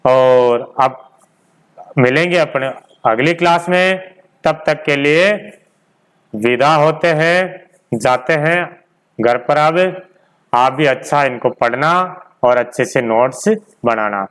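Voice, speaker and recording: Male coaching teacher, crisp clear tone, slightly raised pitch, informal lecturing with repetition and rhetorical questions. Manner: repeats key points, poses questions and answers them himself. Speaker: teacher of an online exam-prep class.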